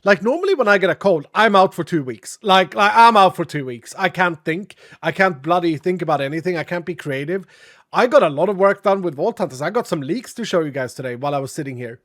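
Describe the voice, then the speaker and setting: Streamer, male, sniffly and raspy from a cold, chatty and a bit dramatic, sits high in his range. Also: lively intonation, fast, a bit bright. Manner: chatty, dramatic, thanks viewers often. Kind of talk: casual live-stream chat.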